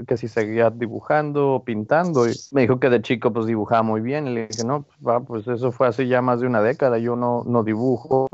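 A man's voice: mid-range voice, darkish tone, dry recording. A man in his thirties with a northern Mexican accent, talking casually as an interview guest in an informal, wandering way. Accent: northern Mexican Spanish. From Ciudad Juárez, Mexico, and El Paso from age twelve. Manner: informal, digressive, often tags phrases with a checking "¿no?". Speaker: guest, printmaker artist. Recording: slightly dull, lo-fi, dry sound.

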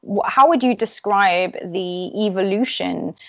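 A woman's voice: mid-range voice, a little slow, gravelly, no echo. Woman interviewer, dry muffled sound. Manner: probing, hesitant phrasing. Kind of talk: interview questions.

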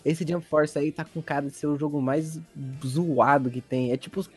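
Male podcast co-host, Brazilian accent, slightly high voice, jokey sweary banter over background music. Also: steady tone, moderate pace, darkish tone, breathy edge. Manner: joking, slangy and profane. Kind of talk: casual banter about video games and anime.

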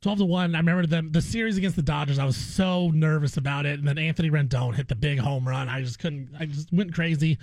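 A man's voice: fairly high pitch, quick pace, hardly any pauses, a little mellow, no echo. A man hosting a sports talk show, chatting casually with joking, self-deprecating asides. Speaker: sports radio host.

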